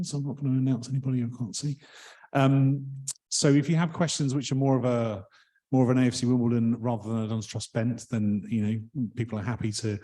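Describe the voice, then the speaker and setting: A man chairing a members' meeting in a hall, polite and hesitant with many pauses and ums. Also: medium pitch, warm tone.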